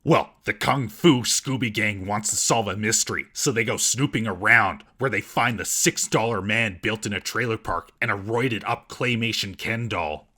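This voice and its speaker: A man's voice: on the low side, moderate pace, few pauses, sharp tone. A man hosting a scripted comedic film recap in a sarcastic, mocking manner. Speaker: comedic film reviewer and host.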